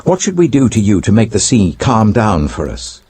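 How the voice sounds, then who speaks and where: British man as scared pleading seafarer, deep voice, swooping intonation, urgent questioning, audiobook scripture reading. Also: big pitch swings, moderate pace, darkish tone, slight background noise. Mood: urgent, alarmed. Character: frightened ancient sailors, urgent pleading men.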